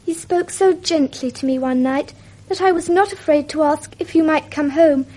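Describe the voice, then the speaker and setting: An affectionate little girl played by a woman in an old radio drama. Her voice is extremely high and childlike. She speaks with eager, excited devotion in a period acting style. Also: normal speed, close to an everyday voice, rough recording. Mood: joyful, eager.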